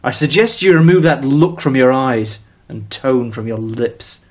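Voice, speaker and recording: A man voicing a tall, brooding young male enforcer with a northern English accent, speaking coldly and with quiet threat. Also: medium pitch, lively intonation, unhurried. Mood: menacing.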